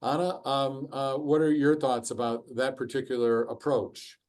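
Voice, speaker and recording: A man's voice: mid-range voice, flat delivery, moderate pace, a little mellow. A man moderating an online course discussion, hesitant, restating what others say with many fillers. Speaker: course facilitator.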